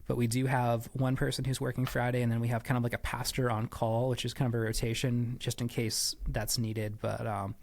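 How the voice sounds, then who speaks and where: A man's voice: mid-range voice, little pitch movement, brisk, creaky, few pauses, a little mellow. A man giving conversational interview answers as a podcast guest, explaining things with frequent asides and qualifications. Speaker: church staff member, podcast guest.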